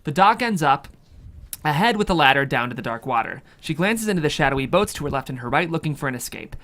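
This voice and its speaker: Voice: mid-range voice, animated, fast, a bit bright. A narrator reads screenplay action lines and scene headings as scripted dramatic narration. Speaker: script narrator reading stage directions.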